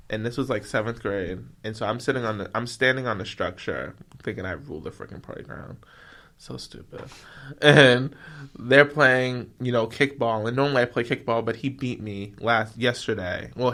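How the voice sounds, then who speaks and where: A young man with a bright, forceful voice tells brash stories in casual podcast chat. He talks loudly and swears freely. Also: medium pitch. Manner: loud, animated, swears freely. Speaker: podcast guest. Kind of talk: casual storytelling.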